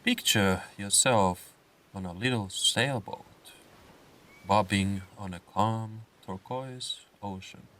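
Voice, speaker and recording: A man narrating a scripted guided meditation in a soothing, unhurried way, with phrases set apart by pauses. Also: on the low side, bright, forceful tone, far from the mic. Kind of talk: slow scripted guided meditation with comic swearing.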